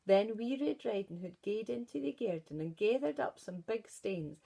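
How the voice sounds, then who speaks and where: A woman teacher with a Scottish accent reading a storybook aloud to pupils and asking gentle questions. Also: mid-range voice, lively intonation, normal speed, creaky. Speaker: primary school teacher.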